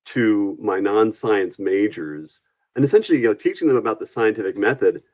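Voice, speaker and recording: Guy, kinda low voice, teacher type chatting earnestly in an interview. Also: lively intonation, moderate pace, a little mellow. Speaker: university physics and astronomy instructor, guest. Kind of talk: conversational interview.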